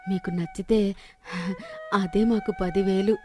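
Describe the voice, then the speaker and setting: A woman's voice: mid-range voice, fairly even intonation, unhurried, warm tone, soft background music, lightly acted. A woman voicing a humble, pious village wife and cook, modest and respectful, in a moral story. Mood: humble, grateful. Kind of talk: Telugu moral story dubbing.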